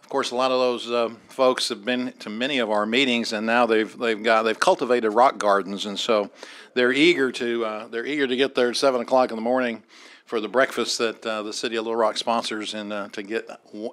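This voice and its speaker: A man's voice: medium pitch, fairly even intonation, a bit bright. A middle-aged man, chairing a city board meeting from the dais in a large council chamber, speaking formally with many hesitant fillers into a desk microphone. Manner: halting, many "uh" fillers, formal procedural phrasing.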